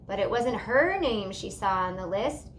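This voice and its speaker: A woman reading a children's storybook aloud in an expressive narrating style. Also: mid-range voice, a little slow, a little hiss or hum. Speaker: storybook reader.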